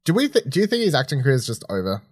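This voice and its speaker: Dude co-hosting a podcast, talks quick, wry and jokey, dry sound with no room. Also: male voice, mid-range voice, huge pitch swings, dry recording. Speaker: podcast co-host. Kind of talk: casual chat.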